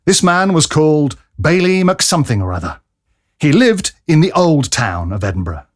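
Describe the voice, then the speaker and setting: A man's voice: on the high side, sing-song, normal speed. A man reading scripted story narration as a narrator in an audio drama.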